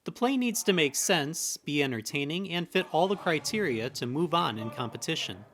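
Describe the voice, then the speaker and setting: A man's voice: a little high, animated, moderate pace, a bit bright. A man reading scripted news narration as a reporter.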